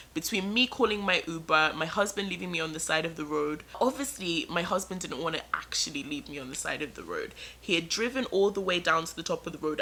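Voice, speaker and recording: A young adult woman vlogger telling a personal story in an animated, dramatic way and drawing it out for suspense. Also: lowish voice. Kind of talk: storytime narration.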